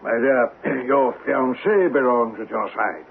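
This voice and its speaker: A man's voice: mid-range voice, unhurried, phone-quality sound. An actor playing a fussy, pompous but indulgent father with a formal manner, in old-time radio drama style. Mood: flustered. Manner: formal, fussy, throat-clearing. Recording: thin, noisy vintage radio recording.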